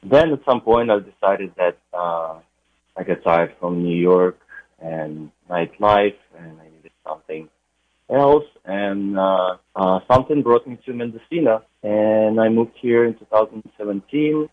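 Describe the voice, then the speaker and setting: A young man with a Ukrainian accent, talking about his music in a relaxed radio interview, pausing often with "uh". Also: on the low side, no room sound. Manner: unhurried, with frequent uh pauses. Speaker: DJ and radio guest. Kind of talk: interview conversation. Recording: tinny phone-like line.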